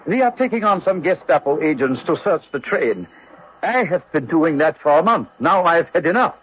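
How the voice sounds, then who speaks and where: Man acting imperious SS officer, high voice, clipped formal speech, radio drama, dull slightly noisy recording. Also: expressive, moderate pace, lightly acted. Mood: confiding. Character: imperious, haughty German SS officer, friendly to comrades. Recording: muffled radio drama recording, faint noise.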